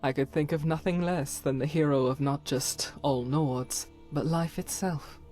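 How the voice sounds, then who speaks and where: A woman's voice: low-pitched, steady tone, measured pace, mellow, faint music behind. A woman playing a devout, solemn holy warrior in a fantasy game, speaking in formal, archaic and earnest phrases. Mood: warm, reverent. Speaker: voice actor for a game companion. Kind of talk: dramatic scripted fantasy game dialogue.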